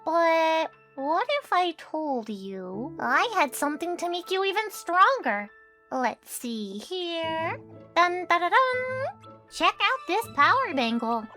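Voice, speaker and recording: A bright little cat creature , voiced by a woman, coaches and cheers on its companion in a fan-dubbed game scene. The voice sits very high and squeaky, with soft music playing faintly behind. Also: sing-song, takes it slowly, full character voice. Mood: encouraging. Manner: upbeat, encouraging. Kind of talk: fan-dub game voice acting.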